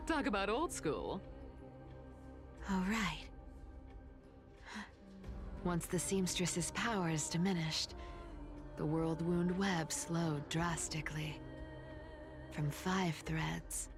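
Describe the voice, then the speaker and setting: A playful, sly female assassin from a video game. She draws out her words slowly and breathily, swooping in pitch as she teases with cool menace. Soft music plays behind her theatrical monologue. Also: exaggerated voice. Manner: breathy, teasing, drawling with amused chuckles.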